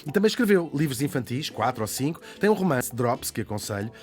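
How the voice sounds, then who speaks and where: A Portuguese man talks quickly through a spirited radio biography, laughing and tossing in asides. He sits at a middling pitch for a man. Faint music plays beneath the studio talk. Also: very animated. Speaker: radio columnist. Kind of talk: lively radio biography chat.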